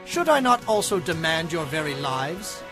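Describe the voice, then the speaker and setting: An actor playing a righteous, fierce ancient warrior king in a dramatized scripture reading, declaiming solemnly and forcefully. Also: fairly high pitch, sing-song, moderate pace, over music. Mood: stern, wrathful.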